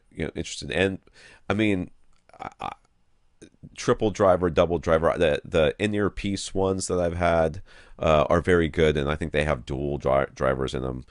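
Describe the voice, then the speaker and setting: A man hosting a podcast, chatting casually and giving advice in a rambling, colloquial, animated way. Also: low voice, no echo, studio quality. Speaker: podcast host.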